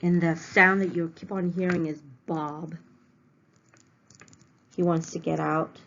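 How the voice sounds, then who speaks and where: Low-voiced woman teacher reading aloud. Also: little pitch movement, fairly bright tone, a little hiss or hum. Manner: explanatory, pausing to define words simply. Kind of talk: read-aloud lesson with simple word explanations. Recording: dull, lo-fi home recording.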